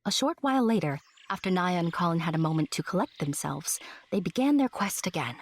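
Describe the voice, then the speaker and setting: A woman narrating a scripted fairy tale as a storyteller, gently, with playful asides. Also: on the low side, expressive, fairly quick.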